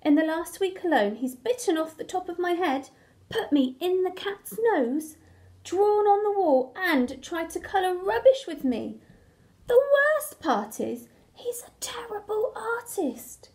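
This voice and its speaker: Woman playing a desperate chunky crayon, high and lively. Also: a little slow, heavily acted. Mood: desperate, complaining. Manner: pleading, complaining. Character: desperate, worn-out big chunky toddler crayon, pleading.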